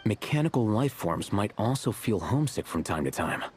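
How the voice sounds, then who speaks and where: A man voicing an aloof, dryly witty young male scholar in a video game, precise, terse and deadpan. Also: fairly low pitch, moderate pace, background noise. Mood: unruffled, wry. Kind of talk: video game character acting.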